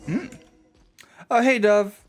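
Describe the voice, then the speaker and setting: A man's voice: sits high, steady tone, takes it slowly. A man livestreaming a game with casual, offhand commentary, slangy and given to swearing. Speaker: game streamer. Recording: game music behind the voice. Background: game music.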